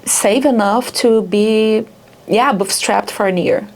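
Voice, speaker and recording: A woman's voice: medium pitch, slow pace. A young adult woman from Rio de Janeiro with a Brazilian accent, speaking as a startup founder in a casual interview, with lots of fillers like "like" and "yeah". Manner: chatty, many fillers like "like" and "yeah".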